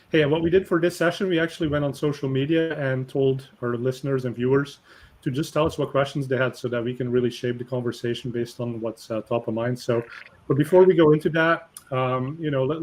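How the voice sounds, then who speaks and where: Energetic male webinar host. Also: mid-range voice. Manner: energetic, conversational, with fillers. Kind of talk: webinar hosting.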